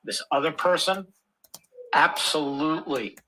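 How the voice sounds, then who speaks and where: A man calling in to a live stream, speaking haltingly and with frustration. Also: on the high side, animated, pushed and bright, a little hiss or hum, poor audio. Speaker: caller. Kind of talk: live stream call-in talk.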